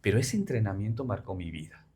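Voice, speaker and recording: A man's voice: on the low side, very animated, quick pace, mellow, slightly rough. A man from Peru with a Peruvian Spanish accent, a missionary guest in an interview, explaining earnestly with frequent fillers. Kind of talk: interview conversation.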